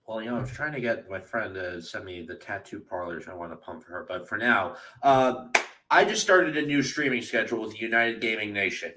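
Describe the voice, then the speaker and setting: A man's voice: fairly low pitch, animated, brisk, pushed and bright, a little hiss or hum, rough recording. A man co-hosting a casual podcast, dropping wry, teasing quips. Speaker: podcast co-host.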